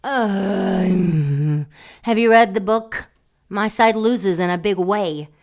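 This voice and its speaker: A woman playing a seductive female dark angel who teases and mocks in an audio drama. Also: mid-range voice, slow pace, lightly acted. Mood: teasing. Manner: teasing, sultry, mocking.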